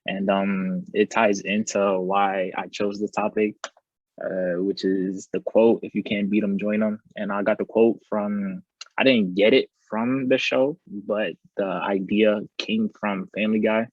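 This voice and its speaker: A man's voice: lowish voice, fairly even intonation, a little slow, dry recording. A young man from New York presenting to a video call in a rambling, casual way full of fillers. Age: young adult. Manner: rambling, filler-heavy, laid-back. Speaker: student presenter. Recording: muffled dry video call audio.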